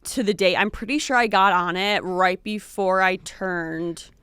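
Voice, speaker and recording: A woman's voice: medium pitch, a little slow, fairly bright tone, clean tone, no room sound, studio quality. A woman in her late twenties co-hosting a podcast, chatting casually with lots of fillers and little laughs. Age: late 20s. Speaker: podcast co-host. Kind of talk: casual chat.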